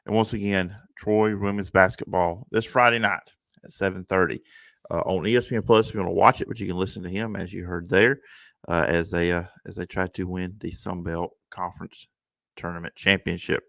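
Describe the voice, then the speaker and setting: A man's voice: deep voice, fairly even intonation, brisk, creaky, a bit bright. A man hosting a casual sports talk show. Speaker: sports podcast host.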